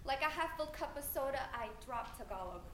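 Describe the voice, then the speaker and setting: A young woman performing a spoken word poem live, earnest and measured, trading lines with a partner. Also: high voice, animated, fairly bright tone, slight background noise. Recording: echoey room heard from afar.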